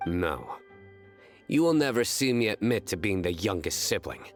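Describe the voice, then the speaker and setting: A low, deadpan stern young man. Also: normal speed. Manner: deadpan and terse. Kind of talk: video game voice acting. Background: game music.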